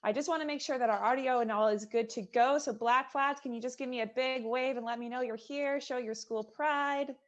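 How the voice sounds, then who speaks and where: A woman with a somewhat high voice hosts an online event over a video call. She keeps the welcome cheerful and energetic. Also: fairly quick, few pauses, dull audio. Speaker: youth services presenter and event moderator. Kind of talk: upbeat event hosting.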